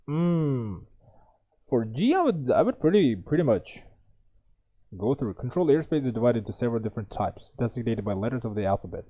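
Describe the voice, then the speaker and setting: A man's voice: fairly low pitch, dark tone, slightly rough. A young man tutoring, reading an exam passage aloud with many stumbles and self-corrections, then thinking through the answers. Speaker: IELTS tutor.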